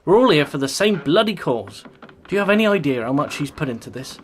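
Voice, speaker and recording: A man's voice: on the high side, sing-song, quick pace, slight background noise. An actor playing a loyal, sentimental fisherman with a Cornish accent, speaking plainly and sincerely in a radio play. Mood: exasperated, loyal.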